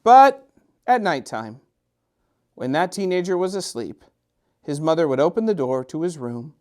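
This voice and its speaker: Man, middle-aged, slightly high voice, children's story reading, gentle sing-song repetition, dramatic emphasis. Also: big pitch swings, measured pace. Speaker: storytime host and children's author. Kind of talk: expressive picture-book reading for children.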